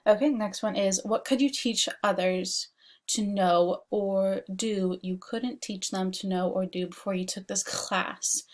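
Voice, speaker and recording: A woman's voice: mid-range voice, fairly even intonation, a little slow. A young woman from Washington DC giving a casual recorded class presentation as a student, chatty and full of "like" and "um". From Washington DC, United States. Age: young adult. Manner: chatty, frequent "like" and "um" fillers. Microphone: laptop webcam mic.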